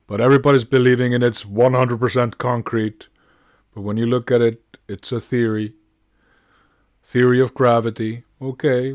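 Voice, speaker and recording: A man giving unscripted commentary in a halting, emphatic way, often posing rhetorical questions. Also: mid-range voice, a little mellow, no room sound, studio quality. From Iceland. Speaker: video commentator.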